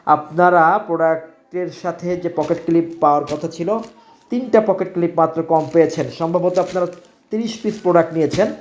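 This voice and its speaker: A man's voice: on the high side, brisk, darkish tone, reverberant. A man with a Bangladeshi accent giving customers an informal shipping update as a seller, hesitant and repetitive but reassuring. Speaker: security equipment seller presenting order dispatches. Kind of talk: informal product shipment video addressed to customers.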